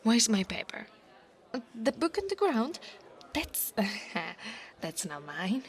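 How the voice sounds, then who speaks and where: The haughty dragon girl who corners the class nerd in a monster roleplay audio, acted by a woman, insecure under slow, drawling taunts in a highish voice. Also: very animated. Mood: flustered, threatening. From Italy. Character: insecure, mocking young female dragon bully. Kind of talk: ASMR roleplay.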